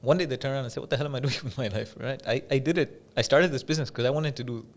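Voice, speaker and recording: A man from Dubai speaking as an interview guest, telling stories in a measured, conversational way with pauses and repeated phrases for emphasis. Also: medium pitch. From Dubai, UAE. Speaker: podcast guest, business coach.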